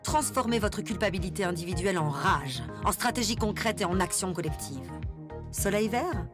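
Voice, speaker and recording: A woman's voice: medium pitch, lively intonation, moderate pace, close to an everyday voice. A woman playing a confident, reassuring announcer in a parody radio advert, polished and persuasive. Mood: reassuring, upbeat. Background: music.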